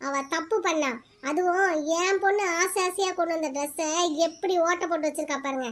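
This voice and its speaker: A woman voicing a shrill scolding stepmother. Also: brisk, a bit bright. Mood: snapping. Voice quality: very high-pitched, shrill. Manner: snapping and argumentative. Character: sharp-tongued stepmother, scolding and defiant. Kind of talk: cartoon voice acting.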